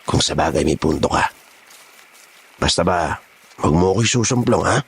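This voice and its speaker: A man playing a gruff, blunt but protective older man in a horror audio drama. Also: low-pitched, expressive, moderate pace, slight background noise. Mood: calm warning.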